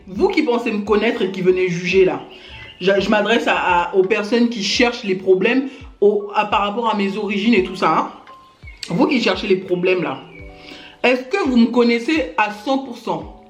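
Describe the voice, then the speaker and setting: A woman vlogger from Gabon addresses her critics head-on in an indignant, talky rant, peppering it with small filler words. Her voice sits at a middling pitch and rings around a bare, echoing room, with faint music under it. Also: moderate pace. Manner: indignant, addresses critics directly, many fillers like "hein" and "genre". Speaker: YouTuber. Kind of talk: ranting to camera.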